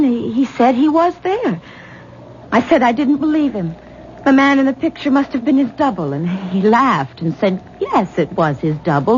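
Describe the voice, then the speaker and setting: A woman playing an anxious, exasperated wife in a 1970s radio drama, halting and repeating words as she insists. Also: a little high, a little mellow, natural voice. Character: anxious, exasperated wife and young mother, adult daughter. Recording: dull, noisy 1970s radio broadcast recording.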